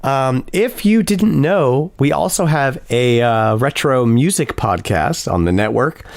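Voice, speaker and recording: A man hosting a casual podcast, upbeat and chatty, with a hoarse voice tonight. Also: mid-range voice, lively intonation, unhurried, dry recording, studio quality. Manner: upbeat, chatty announcer delivery. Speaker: podcast host.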